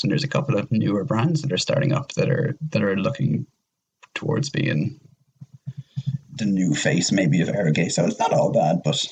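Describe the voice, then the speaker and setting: Casual male podcast guest, low register with vocal fry, informal and slangy. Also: muffled recording. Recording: clearly noisy background with clicks.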